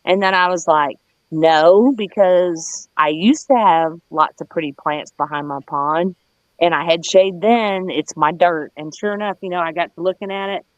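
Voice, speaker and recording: A woman's voice: on the low side, moderate pace, no room sound, lo-fi sound. Southern us lady just rambling about, folksy and plain-spoken, close dry sound. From United States. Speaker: gardener showing their garden on a livestream. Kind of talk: casual rambling chat.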